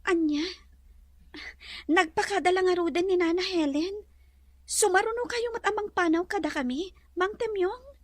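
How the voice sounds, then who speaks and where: A woman plays the sincere lady of the house in an audio drama, a devoted sweetheart. She has a very high voice, captured dry and close. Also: moderate pace, long pauses, no room sound. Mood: surprised. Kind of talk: Ilocano audio drama acting.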